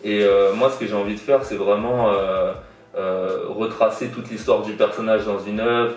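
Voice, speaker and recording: A man's voice: on the low side, steady tone, moderate pace. A young man born in Iran and raised in France, a guest artist answering interview questions casually, hesitant, with many fillers and repeated words. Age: young adult. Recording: echoey room, distant from mic.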